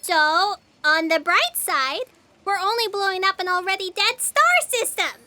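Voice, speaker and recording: A woman playing a shrill, indignant, excitable fact checker in a comic sci-fi audio drama. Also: a little slow, no echo, full character voice. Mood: wry. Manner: excitable, exclamatory.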